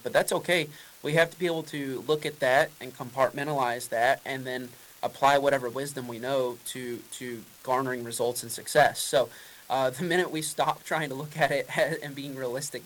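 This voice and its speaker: A man's voice: medium pitch, fairly bright tone, slight background noise, rough recording. A man, a race car driver, answering press questions in a casual, rambling way with many fillers and restarts.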